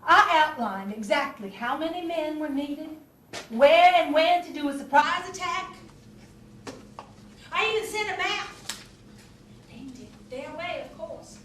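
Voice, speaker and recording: A woman's voice: sits high, measured pace, pauses often, fairly bright tone. An actress playing a spirited Southern woman spymaster of the Civil War in a stage play, telling her story with wry drama. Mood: wry, frustrated. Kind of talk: theatrical stage monologue and dialogue. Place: theatre stage. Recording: distant, echoey stage recording with noise.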